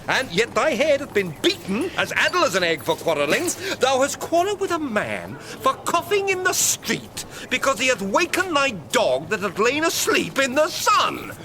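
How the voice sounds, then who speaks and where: An actor playing a mocking, quick-witted, hot-headed young nobleman in a British accent, in a theatrical Shakespearean radio drama. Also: very high-pitched, wildly swooping pitch, pushed and bright, a little hiss or hum, exaggerated voice, low-quality recording. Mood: teasing, mocking. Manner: theatrical, teasing, emphatic.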